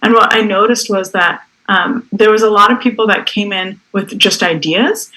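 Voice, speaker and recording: Bright-voiced woman explaining her fund. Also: medium pitch, low-quality recording. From India. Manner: fluent, explanatory. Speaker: venture fund managing director. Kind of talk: interview answers. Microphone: video call.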